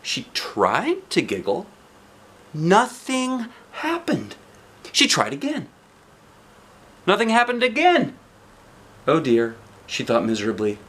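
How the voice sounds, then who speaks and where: A man reading a children's book aloud like a dad, with expressive narration aimed at young listeners. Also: sits high, slow pace, long pauses. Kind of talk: animated children's storybook narration.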